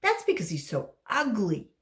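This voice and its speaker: A woman's voice: mid-range voice, wildly swooping pitch, moderate pace, fairly bright tone. A woman voicing whiny, tattling, disgusted young schoolchildren from a storybook.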